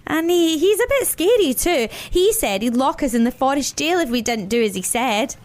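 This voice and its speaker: A woman's voice: high-pitched, very animated, moderate pace, voice pushed up. A woman voicing a timid little rabbit in a children's story, with a hesitant, childlike manner.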